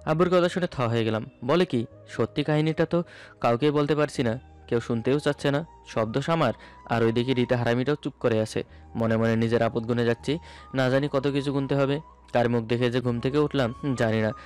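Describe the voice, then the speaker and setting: A man's voice: mid-range voice, fairly even intonation, a little fast, darkish tone. A man narrating a romantic story in the first person, in a dramatic storytelling style. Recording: music bed under the voice. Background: background music.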